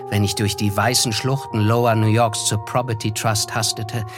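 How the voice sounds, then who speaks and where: An actor playing a reflective, reserved young man who narrates his past in measured literary German for a radio play. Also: medium pitch, a bit bright, dry recording.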